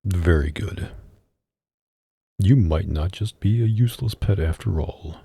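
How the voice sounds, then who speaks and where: Male actor as menacing mafia boss, slow commanding delivery, dark mellow husky voice, sardonic, dry close-mic ASMR roleplay. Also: no room sound, heavily acted. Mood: stern, commanding. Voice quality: deep, husky. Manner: deliberate, commanding, sarcastic. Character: domineering, sardonic mafia boss, adult man, coldly menacing. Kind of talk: intimate ASMR roleplay acting. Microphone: close studio microphone.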